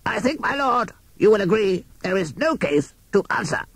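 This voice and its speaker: A man voicing a haughty crow defence lawyer in a children's story, with a rasping voice and formal legal manner. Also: high-pitched, big pitch swings, moderate pace, fairly bright tone, no room sound, voice pushed up, full character voice.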